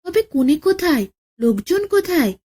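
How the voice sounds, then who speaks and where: A woman's voice: very high voice, sing-song, normal speed, mellow, pitched above the performer's own voice. A woman voicing a gullible, eager male tiger uncle, exclaiming and pleased with himself, in a children's folk tale. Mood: curious, surprised.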